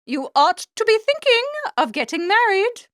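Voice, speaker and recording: A woman's voice: very high voice, big pitch swings, normal speed, a bit bright, no room sound, clean studio sound, full character voice. A woman playing a domineering upper-class older aunt in an audiobook, with an imperious, lecturing manner. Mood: insistent. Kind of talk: audiobook character acting.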